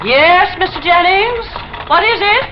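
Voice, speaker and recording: Animated, plain-spoken, wary widowed landlady. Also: very high-pitched, a little slow, over music. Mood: calling out. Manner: folksy, forthright, wary. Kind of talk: old-time radio suspense acting. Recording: muffled, noisy vintage radio recording.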